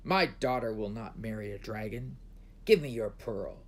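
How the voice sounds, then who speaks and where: A man's voice: fairly low pitch, measured pace. Man acting an imperious storybook emperor, commanding. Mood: demanding. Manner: commanding, forceful. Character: imperious male emperor, stern demanding father.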